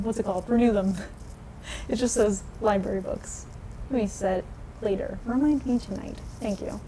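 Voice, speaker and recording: A woman's voice: a little mellow, a little hiss or hum, poor audio. A young woman chatting casually on a livestream, laughing often and answering viewer comments. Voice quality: low voice. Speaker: nail polish vlogger.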